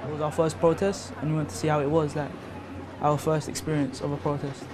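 A man's voice: fairly high pitch, brisk, a crowd in the background, noisy background. A young man speaking casually in an interview, often saying "like". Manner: flat, casual, says like often. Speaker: interviewee, protester's brother.

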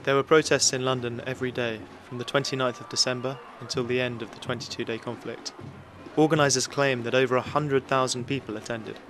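A man giving scripted documentary narration in a measured, even delivery. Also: medium pitch, noisy background. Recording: loud music under narration.

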